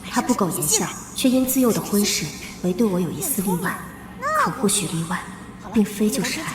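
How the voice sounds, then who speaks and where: A woman's voice: medium pitch, very animated, brisk, lightly acted. Actress as composed, wronged noblewoman in echoing reverb. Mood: wistful, measured. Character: reborn young noblewoman, composed and resolved on revenge. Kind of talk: dramatic first-person narration for an animated period drama. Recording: echoey long reverb over loud music.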